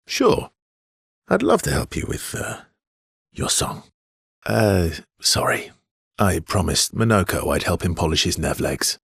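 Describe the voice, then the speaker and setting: An actor playing a weary, wry, gentle expedition wanderer in a video game, with a husky, gravelly voice and an understated, hesitant delivery. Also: male voice, sits low, no echo, clean studio sound, heavily acted. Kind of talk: video game dialogue acting.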